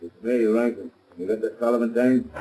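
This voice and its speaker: Actor as deep-voiced, bossy American gangster. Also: male voice, brisk, over loud music, natural voice, poor audio. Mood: cool, curt. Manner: curt, commanding, slangy. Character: hard-boiled, bossy American gangster in command. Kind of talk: 1930s radio crime acting.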